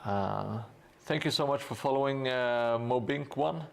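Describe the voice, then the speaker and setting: Laid back streamer guy, gravelly voice, slow and full of uhs, chatting while painting. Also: medium pitch. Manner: relaxed, hesitant with frequent fillers, thanks viewers. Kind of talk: casual live-stream chat while painting.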